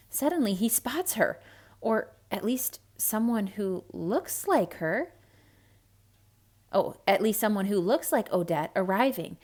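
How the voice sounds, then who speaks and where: A woman reading a storybook aloud to children in a theatrical, expressive way, with hushed asides to young listeners. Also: mid-range voice.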